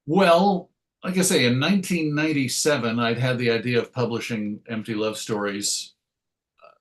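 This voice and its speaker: A middle-aged man speaks as an interview guest, looking back in an easy conversational style. His delivery is wry and self-deprecating, with a medium-pitched voice. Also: moderate pace. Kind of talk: conversational interview answers, reminiscing.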